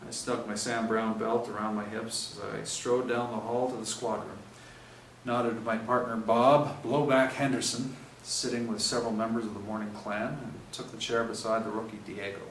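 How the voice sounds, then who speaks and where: A man's voice: on the low side, flat delivery, normal speed, a bit bright. A man reading first-person crime fiction aloud in a hard-boiled, dramatic way with emphatic swings. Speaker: reader of a novel chapter. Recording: roomy, distant mic, faint noise.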